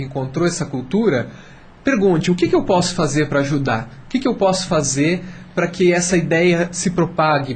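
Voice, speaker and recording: Higher-voiced Brazilian man over background noise. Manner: emphatic, repeats phrases to build a point. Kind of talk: persuasive, explanatory discussion.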